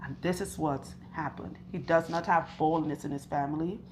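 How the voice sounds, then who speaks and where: A woman loctician with a husky, hoarse voice, talking slowly and earnestly to camera while interviewing a client in her salon. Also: sits low, steady tone, slight background noise. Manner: earnest, chatty, asks questions and recounts stories. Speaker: loctician and channel host. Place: hair salon.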